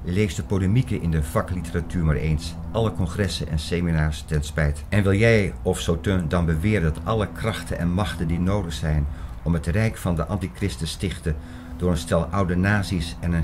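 An actor in a radio drama playing a sceptical, learned man who argues in a measured, lecturing and dismissive way. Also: low voice, noisy background, lightly acted. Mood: scornful, lecturing. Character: sceptical, learned older male friend named Klaus, dismissive. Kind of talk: radio drama dialogue acting.

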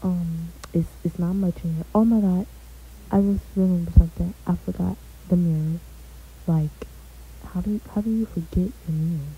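A woman's voice: on the low side, steady tone, normal speed, husky, phone-quality sound. A young woman gives a laid-back, chatty tour of her house in a game, often trailing off with "so yeah.". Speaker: gaming vlogger. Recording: faint hiss.

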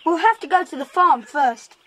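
A woman's voice: very high-pitched, animated, moderate pace, a bit bright, a little hiss or hum. A woman playing a cheeky, level-headed child with an English accent, matter-of-fact and teasing, in a children's audio drama. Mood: dry, teasing.

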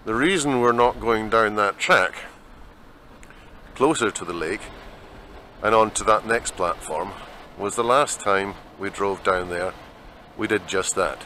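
A man's voice: lowish voice, measured pace, long pauses, sharp tone, slight background noise. A Scottish man narrating a travel vlog outdoors, chatty and rambling, on a handheld camera mic. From Scotland. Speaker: vlogger. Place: outdoors in open desert.

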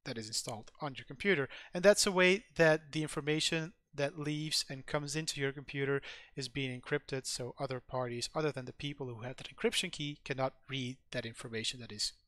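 A man's voice: medium pitch, brisk, fairly bright tone, no room sound. A man whose first language is Dutch, speaking English with a Dutch accent as he walks through a screen-recorded tech tutorial in a loose, rambling way with many fillers. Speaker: tech channel host.

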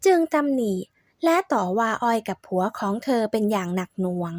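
A woman narrating a folk tale in a steady, measured storytelling voice. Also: mid-range voice, no echo, clean studio sound.

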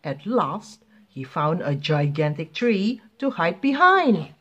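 A woman reading a children's picture book aloud in an expressive, deliberate way. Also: fairly low pitch, dull audio, a little hiss or hum. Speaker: children's story reader.

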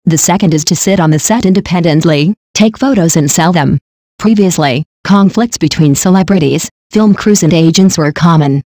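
A synthetic-sounding narrator reading a celebrity news script in an even, mechanical way. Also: medium pitch, moderate pace, dry recording.

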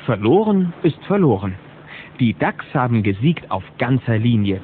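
A man's voice: mid-range voice, sing-song, moderate pace. A man narrating a scripted children's audio drama in a dramatic storytelling style. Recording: narrow-band, hissy old cassette recording.

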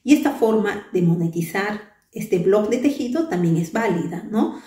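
Mellow-voiced woman explaining in an echoey room. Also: medium pitch, lively intonation, moderate pace, recorded from a distance. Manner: patient, explanatory, with frequent fillers and rhetorical "¿no?". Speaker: crochet channel host. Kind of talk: explanatory talk to camera. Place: small bright room indoors. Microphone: camera at a distance, head and shoulders framing. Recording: echoey room, voice a bit off-mic.

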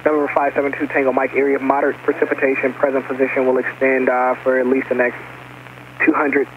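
Tinny, quick male air traffic controller. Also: mid-range voice, monotone. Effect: aviation radio. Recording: tinny narrowband aviation radio, faint noise.

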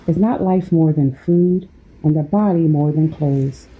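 Low, slow, mellow woman guiding sleep meditation. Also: slight background noise, low-quality recording. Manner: unhurried, measured delivery with pauses between phrases. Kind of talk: guided sleep meditation with scripture reading.

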